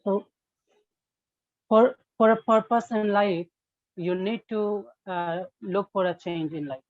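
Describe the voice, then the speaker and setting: A woman, a non-native English learner, practising sentences in a speaking exercise in a hesitant, halting manner. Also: medium pitch, darkish tone, no echo. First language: not English. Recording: muffled, narrow phone-like call audio.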